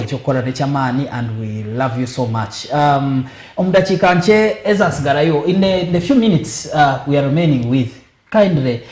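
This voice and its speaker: A man hosting a TV panel discussion, switching between languages and keeping time briskly. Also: mid-range voice, a little mellow, roomy sound. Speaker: TV talk show host.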